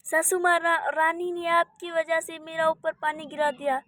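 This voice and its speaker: A woman playing a spiteful, quick-tempered daughter-in-law who scolds and bosses others in a children's cartoon story. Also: extremely high pitch, level tone, fairly bright tone, no room sound, clean studio sound. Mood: accusing, sulky. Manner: scolding, bossy, insulting.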